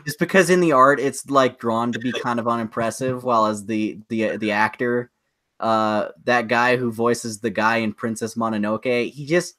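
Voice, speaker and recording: A man's voice: mid-range voice, steady tone, normal speed, a bit bright. Opinionated man in casual podcast chat. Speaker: podcast co-host.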